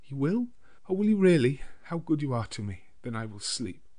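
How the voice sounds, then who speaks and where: A man voicing a frail, gentle young woman in an audiobook, earnest and sweetly trusting. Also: mid-range voice, very animated, moderate pace, pitched above the performer's own voice. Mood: relieved, grateful. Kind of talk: dramatised audiobook character dialogue.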